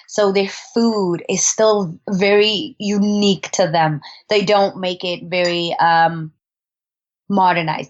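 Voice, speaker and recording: A woman's voice: lowish voice, highs cut off. A woman telling travel stories as a podcast guest in a chatty, casual way, laughing often and restarting her sentences. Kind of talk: casual conversational storytelling.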